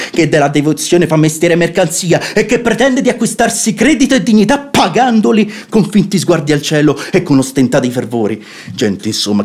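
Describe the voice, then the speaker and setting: An actor playing a sensible, outspoken brother-in-law in a classical radio comedy, declaiming with emphatic oratory. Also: male voice, fairly high pitch, a little mellow, lightly acted.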